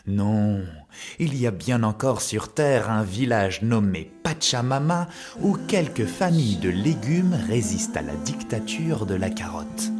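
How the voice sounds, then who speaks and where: Slow theatrical narrator over loud guitar music. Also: mid-range voice, expressive. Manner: theatrical, mock-epic. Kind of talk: scripted storytelling for a children's cartoon show. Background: plucked guitar music.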